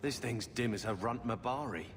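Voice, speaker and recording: An actor playing a gruff, impatient, sullen younger fighter in a video game, curt and grumbling. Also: male voice, mid-range voice, normal speed, heavy background noise, lightly acted.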